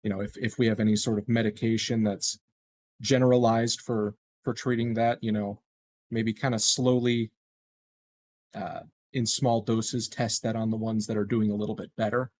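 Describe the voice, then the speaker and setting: Tentative, lowish-voiced man at a tabletop game. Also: level tone, normal speed, darkish tone. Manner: tentative, thinking aloud. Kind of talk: casual improvised roleplay talk.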